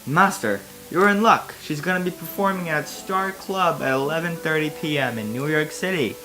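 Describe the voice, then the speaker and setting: A man's voice: fairly high pitch, normal speed, music in the background, close to an everyday voice, poor audio. A man voicing an exasperated male Jedi companion, theatrical and exclaiming, in a comedic fan-fiction reading. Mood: urgent.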